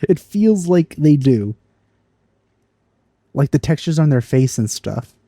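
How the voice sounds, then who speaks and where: Mellow-voiced man commenting on a game. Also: a little high, husky, dry recording, studio quality. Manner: relaxed, musing, quick to laugh. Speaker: gaming video host. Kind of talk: casual let's-play commentary.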